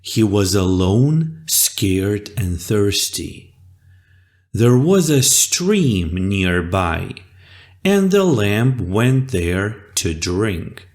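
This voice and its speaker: A storyteller narrating a children's fable in an expressive, deliberate and dramatic way. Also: sits low.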